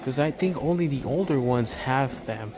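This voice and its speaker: A man's voice: medium pitch, normal speed, crowd noise. A man giving a rambling, off-the-cuff walkthrough commentary inside a large hardware store, talking like an enthusiastic hobbyist filming for a video. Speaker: amateur video maker. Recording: store music playing behind.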